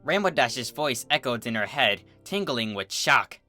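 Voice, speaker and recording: Story narrator, mid-pitched, lively intonation, scripted dramatic reading, faint music underneath. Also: measured pace. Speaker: fan fiction narrator.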